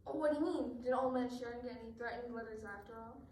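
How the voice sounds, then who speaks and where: A woman's voice: fairly high pitch, racing, a little mellow, lightly acted. A woman playing an announcer and police colleague in an amateur radio mystery. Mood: chatty. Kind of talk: amateur radio drama acting. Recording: echoey, distant mic, clear background noise.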